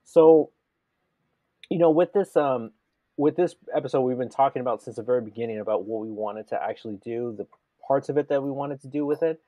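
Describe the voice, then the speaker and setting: A man's voice: mid-range voice, brisk, no room sound, lo-fi sound. A man co-hosting a casual gaming podcast, talking enthusiastically and rambling with many restarts. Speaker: podcast co-host.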